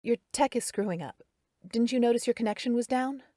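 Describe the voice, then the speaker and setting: A woman voicing a tough young woman who scolds sharply and loudly. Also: fairly high pitch, brisk, no room sound, clean studio sound, pitched above the performer's own voice, lightly acted. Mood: angry.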